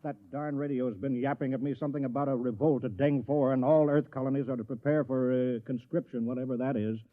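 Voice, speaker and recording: An actor playing a fussy, bossy small-town mayor with a folksy, insistent manner in an old radio drama. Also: male voice, mid-range voice, steady tone, fast, no echo, lightly acted. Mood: hurried. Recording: dull, dry vintage radio recording.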